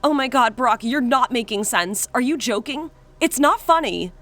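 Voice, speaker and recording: A woman's voice: fairly high pitch, expressive, moderate pace, a bit bright, faint music behind. An actress playing an anxious, caring young woman in a horror audio story. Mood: scared, confused.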